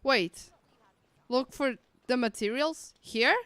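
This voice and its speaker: A woman game streamer with a clear, fairly high voice chats informally as she plays. Her commentary is affectionate and casual. She sounds close and dry, with no room around her. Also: slow, fairly bright tone, no room sound. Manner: informal, affectionate cooing reactions.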